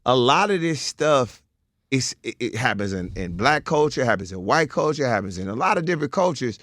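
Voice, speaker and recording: A man's voice: medium pitch, very animated, brisk, fairly bright tone, no echo, studio quality. A man co-hosting a casual podcast, reading out news items and hedging his takes. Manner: reads headlines, then hedges his opinions. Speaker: podcast co-host. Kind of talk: casual group chat.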